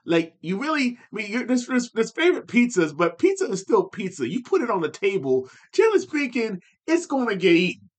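Man, podcast co-host, somewhat high voice, rambling, trails off and restarts. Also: big pitch swings, fairly quick. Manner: rambling, many fillers and restarts. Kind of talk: casual podcast chat.

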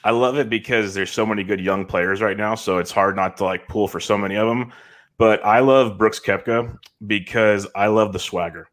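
Man, thirties, fairly low voice, laid-back rambling podcast guest, laughs a lot. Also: normal speed. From California. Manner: relaxed, rambling, laughs often. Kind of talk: casual podcast chat.

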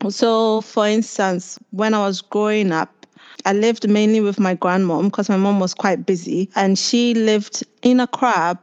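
A woman's voice: mid-range voice, a little mellow, muffled recording. A woman in her thirties from Ghana, chatting as a panel guest and telling stories with hesitations. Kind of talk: casual conversation.

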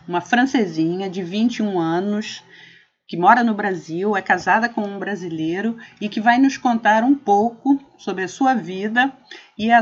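A woman hosting, reading a short introduction of her guest in a clear presenter's delivery. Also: medium pitch. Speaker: host. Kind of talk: scripted introduction. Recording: dull lo-fi sound.

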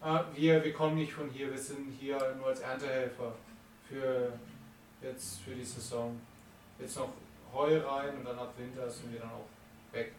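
A man's voice: medium pitch, level tone, a little slow, natural voice. A man voicing a plain young male seasonal farmhand who talks in a hesitant, laid-back way. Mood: calm. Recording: roomy, distant mic, faint noise.

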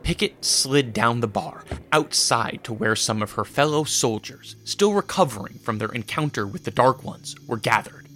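A man with a gravelly voice reading scripted narration for a radio drama. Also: mid-range voice, expressive, normal speed, hardly any pauses, pushed and bright.

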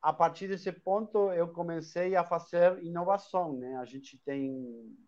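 A man's voice: on the high side, a little slow, darkish tone. Argentine guy chatting as a guest on a live stream, restarts a lot, kinda dull lo-fi sound. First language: Spanish. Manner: talkative, with frequent restarts and tag questions. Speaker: guest, hostel chain owner. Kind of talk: live online interview.

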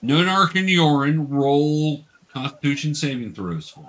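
A man's voice: on the high side, big pitch swings, unhurried, fairly bright tone, rough recording. A man acting as game master, patiently explaining rules and narrating a tabletop fantasy game.

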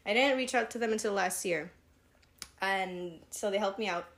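A woman's voice: mid-range voice, normal speed. A woman in her twenties co-hosting a podcast, talking casually with many fillers and restarts. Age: 20s. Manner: chatty, lots of fillers and restarts. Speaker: podcast co-host. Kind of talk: casual chat.

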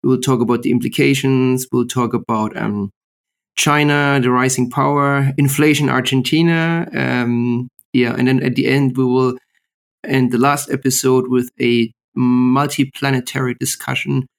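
A man's voice: mid-range voice, little pitch movement, normal speed, gravelly. A man hosting a podcast over a call, upbeat and summarizing topics for listeners. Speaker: podcast host. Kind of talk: casual interview chat. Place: remote call. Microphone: video call.

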